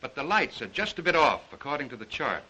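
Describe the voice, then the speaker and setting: Worried ship's captain, bright voice. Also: male voice, medium pitch, big pitch swings, fast, breathy edge, full character voice, rough recording. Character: worried, deferential ship's captain, earnest and cautious. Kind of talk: 1930s film acting.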